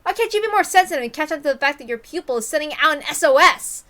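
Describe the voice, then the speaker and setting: A woman's voice: high voice, animated, fast, sharp tone, pitched above the performer's own voice. A woman voicing an earnest teenage schoolboy with an intense, direct manner in a story reading. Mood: indignant, questioning. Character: earnest, blunt teenage schoolboy narrator-hero. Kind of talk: dramatic reading of a visual novel.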